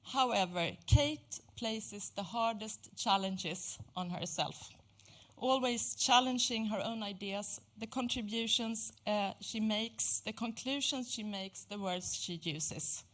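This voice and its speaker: A woman with a medium-pitched voice delivers a prepared introduction at a lecture. She goes very slowly, setting out each phrase in a measured, formal way. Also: fairly bright tone. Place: indoor lecture venue.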